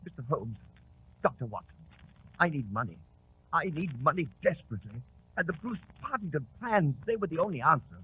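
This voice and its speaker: A man playing a guilty, flustered colonel who pleads haltingly in a 1940s radio drama. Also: fairly high pitch, very animated, slight background noise. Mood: defeated, pleading. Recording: tinny, muffled 1940s radio recording.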